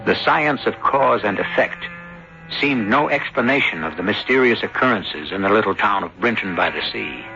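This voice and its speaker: A man hosting a radio mystery series, narrating in a solemn, deliberate and theatrical old-time style. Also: on the low side, lively intonation, creaky, sharp tone. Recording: dull lo-fi old radio broadcast. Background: prominent music.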